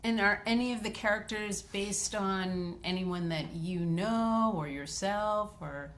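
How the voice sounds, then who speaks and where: A woman's voice: medium pitch, slow pace, a bit bright. A woman moderating an informal Q&A, putting questions to the panel. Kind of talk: informal audience Q&A.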